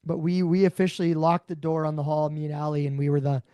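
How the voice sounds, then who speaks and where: A man with a raspy voice, co-hosting a podcast, rambling through casual chat. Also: a little high, completely flat delivery, fast talker, no echo. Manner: rambling, warm storytelling. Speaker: podcast co-host.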